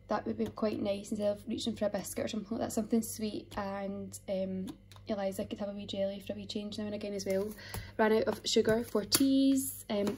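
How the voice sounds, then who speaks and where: Mellow, chatty young woman. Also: medium pitch, slight background noise. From United Kingdom. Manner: informal, unscripted, chatty. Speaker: vlogger showing a shopping haul. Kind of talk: casual chatty voice-over to camera. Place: home kitchen, indoors.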